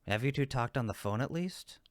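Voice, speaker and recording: A man's voice: mid-range voice, expressive, fairly quick, dry recording, lightly acted. A man playing a friendly cupid roommate in a comfort roleplay, teasing and supportive, with an emphatic, reassuring manner. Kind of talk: roleplay audio comfort acting, one-sided conversation.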